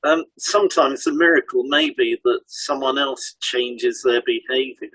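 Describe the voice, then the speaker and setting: A man's voice: mid-range voice, expressive, measured pace, fairly bright tone, dry recording, poor audio. A man co-hosting a podcast, reading scripted instructional narration. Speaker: podcast co-host, hypnotherapist.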